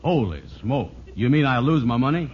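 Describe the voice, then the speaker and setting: An actor playing a gullible, blustering dupe in a 1940s radio sitcom, with a gruff, folksy, ungrammatical delivery. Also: male voice, mid-range voice, lively intonation, normal speed. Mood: startled, demanding. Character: gullible, blustering male dupe wanting his money. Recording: muffled, noisy old radio recording.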